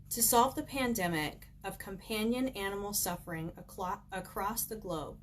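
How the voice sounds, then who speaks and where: A woman author reading her own book aloud at home in an informal, conversational way. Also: medium pitch. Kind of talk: reading aloud with casual chatty asides. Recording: clear background noise, home recording.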